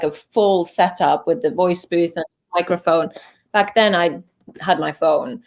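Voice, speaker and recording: A young woman from Norway with a Norwegian accent, chatting casually as a voice actor guest in an interview, full of fillers and restarts. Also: on the low side. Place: home voice booth.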